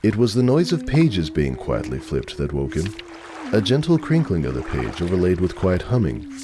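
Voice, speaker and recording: A man's voice: deep voice, huge pitch swings, normal speed, noisy background. A man narrating a story from a script as a dramatic reading.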